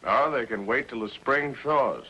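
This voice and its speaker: A man's voice: medium pitch, expressive, a little slow, sharp tone, slightly rough, heavily acted. A man playing a sly outlaw who poses as a folksy trapper in a 1950s television western. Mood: smug. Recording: dull vintage television soundtrack.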